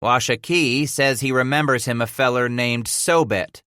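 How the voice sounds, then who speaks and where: A man's voice: mid-range voice, normal speed, bright, forceful tone, smooth, no echo, clean studio sound, lightly acted. A man voicing a young, good-humored fur trapper leader in a rustic mountain-man dialect for an audiobook. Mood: wry, explaining.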